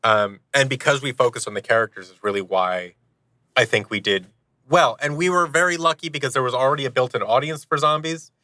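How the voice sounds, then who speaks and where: A man's voice: mid-range voice, fairly quick, sharp tone, no echo. A man in his thirties chatting casually and animatedly as a podcast guest, telling stories in a self-deprecating way. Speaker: podcast guest, audio drama producer and actor. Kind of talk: casual conversational storytelling.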